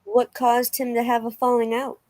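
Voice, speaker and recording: A woman's voice: on the high side, completely flat delivery, normal speed, darkish tone, natural voice. A woman reading the part of a casual, probing investigator in a dramatic reading of a police interview. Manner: conversational, inquisitive.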